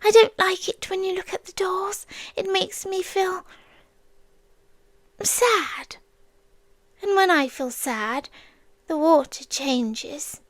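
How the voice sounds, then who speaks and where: Woman acting a high, whispery British demon girlfriend. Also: no room sound. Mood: softly menacing. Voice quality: soft, breathy, often whispered. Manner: slow, coaxing, sweetly menacing with long pauses. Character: possessive yandere female water demon, tender, menacing, obsessive. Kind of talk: ASMR roleplay, soft intimate delivery often dropping to a whisper.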